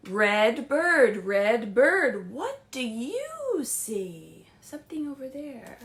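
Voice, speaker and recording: A woman children's librarian hosting a read-aloud story time, speaking gently and reassuringly in simple words meant for small children. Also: fairly high pitch, very animated, very slow. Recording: roomy, echoey livestream sound.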